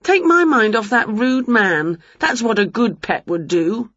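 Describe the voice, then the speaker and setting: A woman voicing an excitable, dramatic witch who is easily put out, in a children's storybook. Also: fairly high pitch, measured pace, dry recording, muffled recording, voice pushed up, heavily acted. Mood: pestering. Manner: exclamatory, dramatic.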